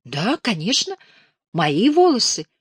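A woman's voice: mid-range voice, huge pitch swings, slow, husky, dry recording, clean studio sound, voice pushed up, exaggerated voice. A woman voicing a naive young woman from the provinces, speaking timidly and unsure of herself.

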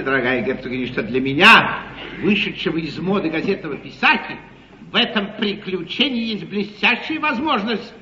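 A sardonic, scheming gentleman of period stage comedy. His high, bright voice swoops through mocking, ornately polite declamation. The old theatre recording is muffled. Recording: muffled, faintly noisy 1953 theatre broadcast.

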